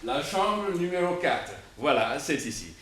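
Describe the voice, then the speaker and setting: An actor playing a humble, obliging hotel night porter in a 1960s French radio mystery, deferential and hesitant. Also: male voice, on the high side, sing-song, measured pace, noisy background. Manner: deferential, hesitant, prefacing remarks with hums. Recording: echoey vintage radio studio recording.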